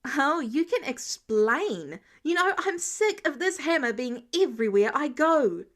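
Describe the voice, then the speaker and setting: A woman's voice: high voice, very animated, measured pace, fairly bright tone, heavily acted. A woman voicing an exhausted, exasperated teenage boy intern in an audiobook story, indignant and dramatic. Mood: seething.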